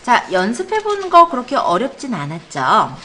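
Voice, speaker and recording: A woman's voice: a little high, huge pitch swings, normal speed, sharp tone. A woman computer instructor leading a hands-on classroom lesson step by step, often checking that students follow with short questions and encouragement. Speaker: computer academy instructor teaching a spreadsheet class. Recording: clear background noise in classroom.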